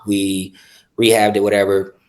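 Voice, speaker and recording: A man from the South Side of Chicago, a real estate investor talking as a podcast guest in a measured way, often repeating words. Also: fairly low pitch, little pitch movement, darkish tone. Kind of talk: casual podcast interview.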